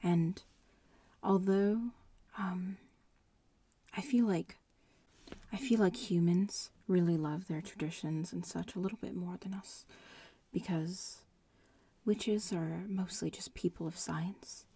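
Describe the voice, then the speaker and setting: A woman voicing a young witch-in-training in an ASMR roleplay, murmuring and whispering with a creaky, breathy voice and a nonchalant drawl. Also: lowish voice, takes it slowly, mellow, no room sound, full character voice. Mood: nonchalant, explaining. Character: casual young witch-in-training, bratty schoolmate.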